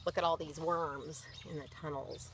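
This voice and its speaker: A woman's voice: sits low, fairly even intonation, normal speed, a bit bright, slight background noise. A woman reading a picture book to children, expressively, with chatty asides and simple explanations. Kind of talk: read-aloud with chatty asides.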